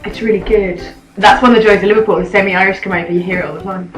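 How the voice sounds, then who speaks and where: A woman's voice: mid-range voice, fairly even intonation, very fast. A British woman in her early thirties chatting casually, with a dry, blunt, teasing manner. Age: early 30s. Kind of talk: casual chat between friends. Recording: echoey, poor-quality amateur audio, music behind.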